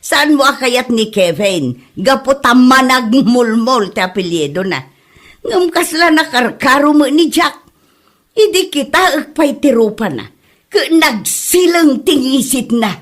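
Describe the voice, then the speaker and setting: Woman acting teasing ilocano mom in a comedy drama, high voice, slow drawn-out talk, laughs through her words. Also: expressive, fairly bright tone, heavily acted. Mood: mocking amusement. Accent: Ilocano Filipino. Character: mocking, giggly mother and auntie.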